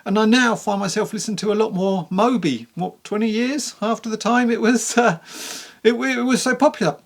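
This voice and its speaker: Creaky-voiced, candid book reviewer. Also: medium pitch, fairly even intonation, brisk. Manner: candid, rambling, conversational. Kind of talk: casual spoken review to camera.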